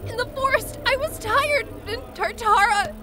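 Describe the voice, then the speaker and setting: A woman's voice: very high-pitched, unhurried, heavy background noise. A woman playing a distraught young female adventurer, pleading emotionally in a dramatized fantasy audiobook. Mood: frantic pleading.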